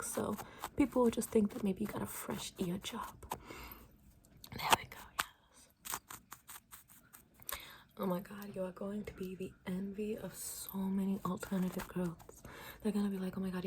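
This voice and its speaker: A woman doing an improvised ASMR makeover roleplay, mixing soft breathy whispers with murmured speech in a playful, rambling, soothing way. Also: fairly low pitch, a little hiss or hum.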